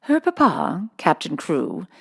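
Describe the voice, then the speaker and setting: A woman acts out an imposing storybook headmistress, severe and tartly polite. She speaks in a clipped, commanding way, prim to the last word, with some gravel in the voice. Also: on the low side, huge pitch swings, slow, no room sound, clean studio sound, full character voice. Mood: disapproving. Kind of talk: children's storybook reading.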